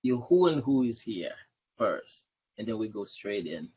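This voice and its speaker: A man's voice: lowish voice, sing-song, unhurried, a little mellow, breathy edge. A man hosting a casual live-stream interview, repeating words and phrases for emphasis. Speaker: show host, interviewer. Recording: dull, lo-fi livestream audio.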